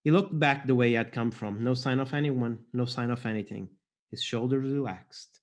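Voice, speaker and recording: A man's voice: medium pitch, normal speed. A man from Portugal, an author introducing and reading his own fantasy fiction aloud.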